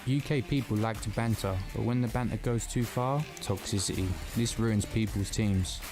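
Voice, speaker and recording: A man from England, a pro esports player telling his story in a relaxed, matter-of-fact interview voiceover. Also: lowish voice, moderate pace, over music. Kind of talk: documentary-style interview voiceover.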